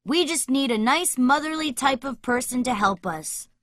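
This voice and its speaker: A woman playing a confident, bossy young boy leader in a children's animated story. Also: high voice, normal speed, dry recording, studio quality. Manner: self-assured.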